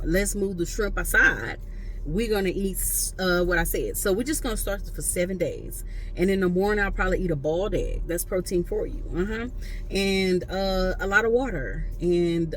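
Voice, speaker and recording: A woman in her late thirties talks loosely and playfully to camera, full of slangy asides. Her voice sits at a middle pitch. A faint hiss runs under the recording. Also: poor audio. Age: late 30s. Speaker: vlogger. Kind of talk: casual talk to camera.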